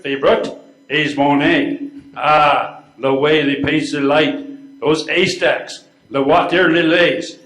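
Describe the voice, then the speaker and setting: A man's voice: on the high side, fairly bright tone. An older man acting a pompous would-be continental painter in amateur readers theater, with theatrical French flourishes. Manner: theatrical, sprinkled with French phrases. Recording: echoey room recording.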